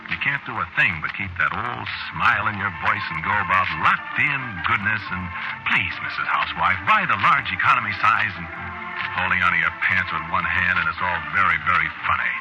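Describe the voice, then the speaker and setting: A man's voice: sits low, fairly quick, over loud music, natural voice. A man acting in a vintage radio drama as a hard-headed, rattled fellow narrating his nightmare, hesitant and stammering in colloquial speech. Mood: wry, disbelieving. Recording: noisy dull vintage radio recording.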